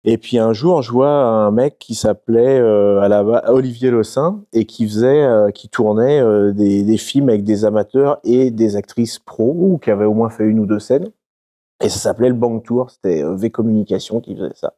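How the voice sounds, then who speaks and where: A young adult man from France, a guest talking casually in an interview, halting and full of fillers. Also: lowish voice, dark tone. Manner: halting, many fillers and restarts.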